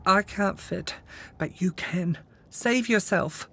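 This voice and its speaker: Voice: mid-range voice, takes it slowly, creaky, pushed and bright, slightly rough, voice pushed up, heavily acted. A storyteller voicing a brave young woman adventurer, earnest and emotional, in a children's fairytale. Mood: defeated. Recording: music under the voice.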